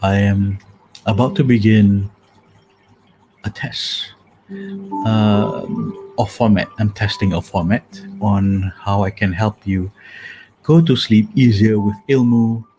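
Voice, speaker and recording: Man, calming soothing voice, low-pitched, very slow, hesitant fillers, calm music behind. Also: low-quality recording. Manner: soft-spoken, hesitant with many fillers. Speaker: host. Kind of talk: casual spoken sleep meditation talk.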